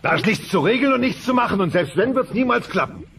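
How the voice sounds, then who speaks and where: A man's voice: high voice, expressive, fast talker, vocal fry, noisy background. An actor playing a cheeky, sarcastic male dinosaur in a German audio drama, with a mocking, breezy manner. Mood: dismissive, breezy.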